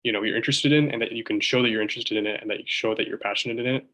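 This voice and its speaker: Quick, bright-voiced young man. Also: fairly low pitch, steady tone, low-quality recording. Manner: rambling, many restarts and "like". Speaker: medical student panelist. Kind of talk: casual panel answer. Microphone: video call.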